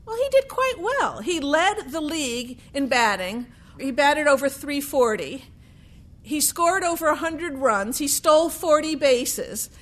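A woman telling a true sports history story in a deliberate way, with dramatic pauses and emphasis. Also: high-pitched, fairly bright tone.

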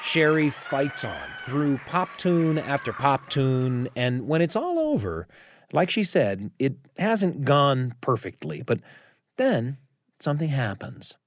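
A man narrating a true story as host, in a scripted storytelling style with dramatic pauses. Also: mid-range voice, sing-song.

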